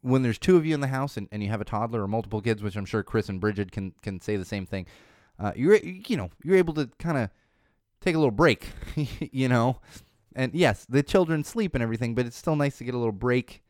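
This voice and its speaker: A man's voice: mid-range voice, animated, a little fast, a little mellow, no room sound. A man, hosting a casual podcast from his home studio, talking in a rambling way with many restarts, his voice still slightly off from an ear infection. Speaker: podcast host.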